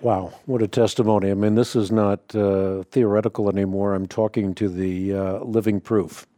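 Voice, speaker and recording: Male call-in host, mellow and dry, measured teacher's tone. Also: fairly low pitch, little pitch movement, no room sound. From Netherlands. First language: Dutch. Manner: explanatory, measured, frequent uh fillers. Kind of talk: call-in radio Bible answers.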